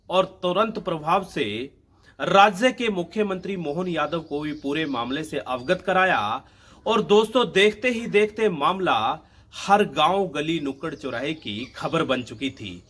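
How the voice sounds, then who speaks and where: A man's voice: sits high, fairly bright tone. A man telling a true-crime story as a host, in a dramatic narration style with emphatic phrasing, addressing listeners directly.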